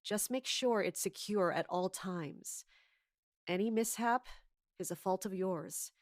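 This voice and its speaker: A woman playing a scheming, authoritative commander in an audio drama, speaking in a measured way. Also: medium pitch, dry recording, studio quality. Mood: cool, commanding. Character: scheming, commanding woman in charge of palace guards. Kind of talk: audio drama acting with first-person narration.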